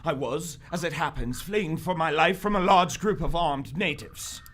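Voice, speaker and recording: An actor playing an exasperated, timid society clerk with a formal, sarcastic manner in a live radio adventure. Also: male voice, fairly high pitch, moderate pace, fairly bright tone. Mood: dry, aggrieved. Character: exasperated, timid male society clerk fleeing through jungle. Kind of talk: old-time radio adventure acting, live theater.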